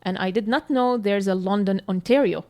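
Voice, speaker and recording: Palestinian woman, candid and unhurried. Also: medium pitch, a little mellow. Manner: candid, searching for words, frequent fillers. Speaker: co-host. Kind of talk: casual podcast conversation.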